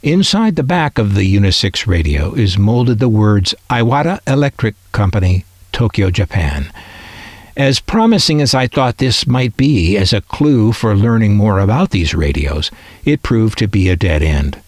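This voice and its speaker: Male narrator, low register, animated melody, dry close sound, reflective collector delivery. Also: normal speed, no room sound. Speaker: radio collector presenting their collection. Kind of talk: scripted narration.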